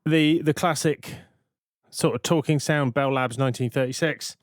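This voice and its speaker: A man giving a casual, matter-of-fact software tutorial as a plain-spoken voice-over. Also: mid-range voice, moderate pace, dry recording, studio quality. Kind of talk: casual tutorial narration over screen recording.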